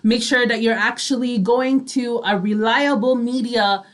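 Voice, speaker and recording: High-voiced woman hosting radio chat. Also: fairly even intonation, measured pace. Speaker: online radio talk show co-host. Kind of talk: casual radio chat and show announcements.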